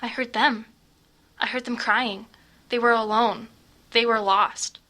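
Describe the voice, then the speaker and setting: A woman playing a troubled, fragile and dramatic teenage girl in an audio drama, guarded, halting and defensive. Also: on the high side, steady tone, bright, forceful tone. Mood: shaken, fearful.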